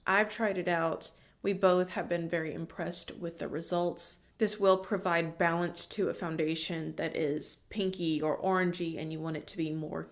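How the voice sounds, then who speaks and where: A woman beauty vlogger, congested from a cold, giving chatty, opinionated product reviews in casual slang. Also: lowish voice.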